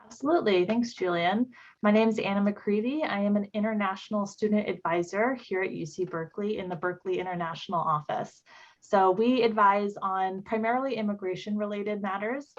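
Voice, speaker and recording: A woman's voice: mid-range voice, a little fast. Friendly woman advisor on a call. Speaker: international student advisor. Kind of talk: panel introduction. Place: video call. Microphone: video call. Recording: muffled video call audio.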